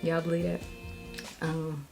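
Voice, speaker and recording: A woman's voice: low voice, flat delivery, slow, gravelly, dark tone, soft background music. An American woman talking candidly and reflectively in a casual personal vlog, repeating herself for emphasis. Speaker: vlogger.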